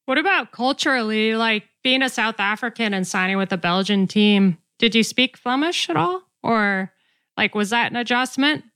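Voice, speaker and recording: A woman's voice: fairly high pitch, expressive, normal speed, a bit bright, clear, resonant tone. A woman interviewing a guest on a podcast, asking questions in a casual, conversational way.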